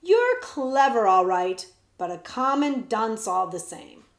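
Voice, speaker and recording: A spoiled boy prince speaks with haughty, whiny airs, as a woman reading aloud puts him on. His voice climbs high. It is heard in an echoey room. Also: big pitch swings, slow, exaggerated voice. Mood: smug.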